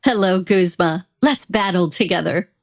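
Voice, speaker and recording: A woman voicing a poised, motherly and quietly commanding mature woman in anime-style game lines. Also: on the low side, moderate pace, close to an everyday voice.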